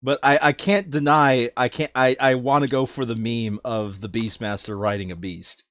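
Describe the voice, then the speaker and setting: A man's voice: medium pitch, steady tone, moderate pace, no echo, lo-fi sound. A man chatting casually as a player planning a board game session, thinking aloud and repeating his phrases.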